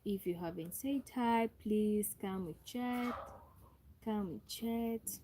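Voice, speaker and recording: A woman's voice: mid-range voice, slow pace, background noise. A young Ugandan woman with a Ugandan English accent, hosting a live stream and repeatedly greeting viewers and asking them to chat. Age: young adult. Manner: repetitive, calls out to viewers and asks for thumbs up. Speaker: livestream host.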